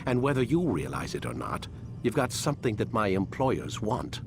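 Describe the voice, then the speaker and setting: Condescending older male scientist, lively pitch. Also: vocal fry, noisy background. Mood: cold, menacing. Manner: measured, formal, lecturing. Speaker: scientist in charge. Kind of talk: video game voice acting.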